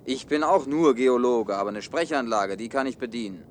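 A male geologist with a middle-pitched voice, played by an actor in a science-fiction radio play. He sounds practical and sure of himself, matter-of-fact with a teasing edge. The recording carries faint rumbling noise. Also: animated, brisk, natural voice. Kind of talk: German science-fiction radio drama acting.

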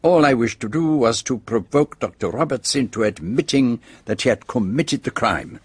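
An actor playing a shrewd, self-assured detective in a radio drama, with a French-speaking accent and precise, theatrical phrasing. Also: male voice, mid-range voice, expressive, normal speed, slightly rough, no echo. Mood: self-satisfied.

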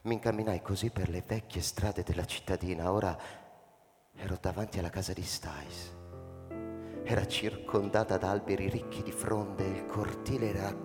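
An actor playing a thoughtful, uneasy school principal, narrating in a measured radio-drama manner with pauses. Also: male voice, low voice, steady tone, darkish tone, natural voice. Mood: brooding, uneasy. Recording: reverberant hall, music bed underneath. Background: music.

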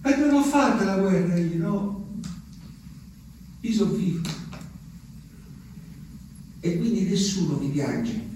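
An actor playing an anguished, simple Italian peasant man, telling his memories in a halting, urgent stage monologue. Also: fairly high pitch, mellow. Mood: mournful. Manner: halting, repetitive, urgent storytelling. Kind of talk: theatrical monologue. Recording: echoey room, distant mic, faint noise.

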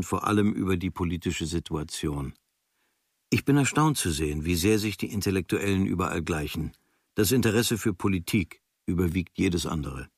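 A man playing an observant writer, reading his travel diary as a radio drama in measured, clipped literary phrases. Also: low voice, creaky, husky, no room sound, clean studio sound, heavily acted.

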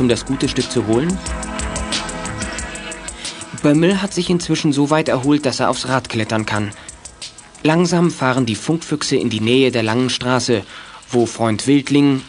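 A man's voice: medium pitch, a little slow. A man narrating a children's detective radio play in a scripted storytelling style. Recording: music under the narration. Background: music.

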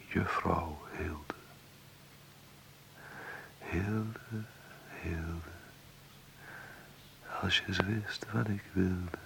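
An actor in a radio play voicing a bitter, anguished man brooding alone in a whispery, gravelly voice. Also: deep voice, sharp tone, slight background noise, full character voice, low-quality recording.

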